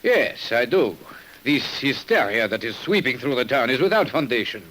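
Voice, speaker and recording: An actor playing a self-assured, dismissive town doctor, formal and emphatic, in an old radio drama. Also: male voice, mid-range voice, very animated, moderate pace, a bit bright, poor audio. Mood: dismissive, firm. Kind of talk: 1950s radio drama acting.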